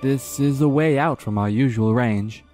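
A man's voice: medium pitch, measured pace, darkish tone, faint music behind, close to an everyday voice. A man playing an easygoing, hungry dragon-riding knight with a casual, teasing manner in a fantasy audio drama.

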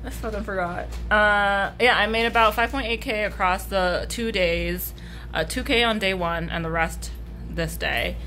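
Young woman artist, tired, slightly hoarse, rambly and casual, laughs between thoughts. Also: mid-range voice, unhurried, pushed and bright. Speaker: artist vlogger. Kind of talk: casual vlog chat. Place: hotel room and convention hall.

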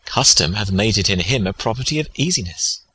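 A man playing a deferential, loyal gentleman friend of a prince in a Shakespeare audiobook. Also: on the low side, animated, a little fast, husky, exaggerated voice. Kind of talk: dramatic audiobook reading of Shakespeare.

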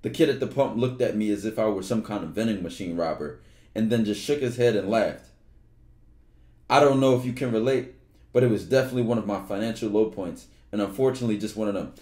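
A young man streaming from his bedroom on a headset, reading a book aloud with relaxed, slangy asides. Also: on the low side, fast, echoey room. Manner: relaxed, slangy, loud outbursts when joking.

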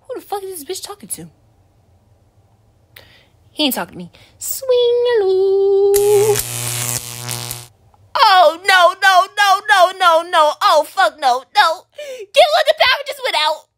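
A woman's voice: very high-pitched, big pitch swings, slow pace, pauses often, fairly bright tone, heavily acted. A woman voicing a jumpy, foul-mouthed teenage boy in an ASMR roleplay, swearing and being dramatic. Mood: panicky.

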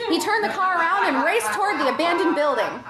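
A woman's voice: extremely high pitch, animated, quick pace, over a noisy crowd. A woman reading a comic story aloud as a performer, giggly and prone to breaking up as she goes. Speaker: comedy troupe member reading a story.

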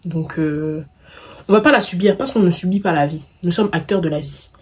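A woman's voice: fairly low pitch, normal speed, husky. A woman in her early twenties with a Parisian suburban French accent chats casually and playfully to camera in slangy speech, tossing in English catchphrases. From Paris suburbs, France; of Congolese descent. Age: early 20s. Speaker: vlogger answering viewer questions.

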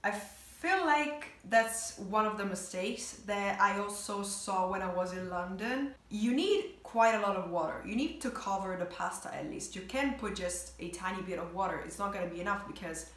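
A woman's voice: medium pitch, normal speed, hardly any pauses, fairly bright tone. A woman in her twenties speaks English with an Italian accent. She talks casually to her viewers as a vlogger reacting to a video, her mid-range voice carrying the echo of a bedroom. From Italy. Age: 20s. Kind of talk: casual reaction commentary to camera. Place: small bedroom indoors, sitting on bed. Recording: roomy, echoey bedroom sound.

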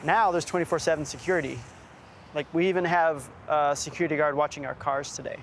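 A man's voice: on the high side, fairly even intonation, fairly quick, slight background noise. A man in his thirties hosting a documentary, talking thoughtfully and casually, partly on location outdoors. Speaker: host. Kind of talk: documentary presenting and voice-over. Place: outdoors and inside an abandoned factory.

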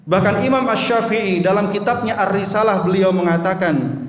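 A young man from Indonesia giving a Friday sermon at a lectern in a mosque, speaking into a handheld mic in a measured, earnest preaching manner. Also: high voice. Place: mosque hall, indoors. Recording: echoey mosque hall with long reverb.

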